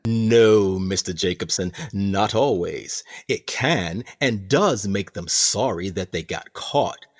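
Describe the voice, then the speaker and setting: An actor playing a smug, calculating prison captain in a radio play, lecturing in a pompous, theatrical manner. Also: male voice, fairly low pitch, slow pace. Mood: professorial, emphatic. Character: smug, calculating male prison captain, proud mastermind of a colony.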